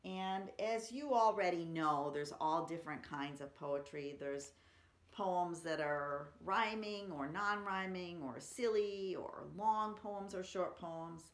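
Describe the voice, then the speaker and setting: A woman's voice: on the low side, slow. A woman reading poetry aloud for children and chatting between poems, explaining simply and reading expressively. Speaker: library story-time reader. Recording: roomy, echoey room sound.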